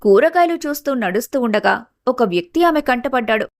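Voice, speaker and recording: A woman's voice: a little high, expressive, brisk, no room sound, studio quality. A woman giving scripted narration of a family story.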